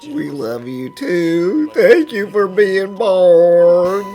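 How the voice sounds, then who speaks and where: A man playing a hardworking, softhearted middle-aged father and company boss, speaking earnestly in an animated drama fan dub. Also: sits high, drawn-out words, faint music behind, full character voice. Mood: moved, apologetic.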